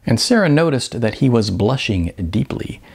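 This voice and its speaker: A middle-aged man reading his novel aloud as an author, in an animated, emphatic audiobook storytelling style. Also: medium pitch, moderate pace, vocal fry, husky. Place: small furnished room indoors.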